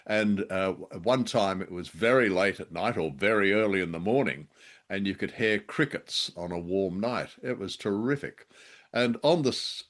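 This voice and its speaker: A man's voice: deep voice, fairly bright tone. A man co-hosting a podcast, musing and a little hesitant as he casually reviews radio dramas.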